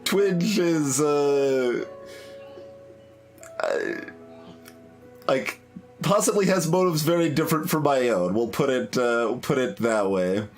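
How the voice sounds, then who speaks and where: Rambling male game streamer, higher-pitched voice. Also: expressive, slow, long pauses, soft background music. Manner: rambling, tentative, thinking aloud. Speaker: let's play streamer. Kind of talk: casual game commentary with reading aloud.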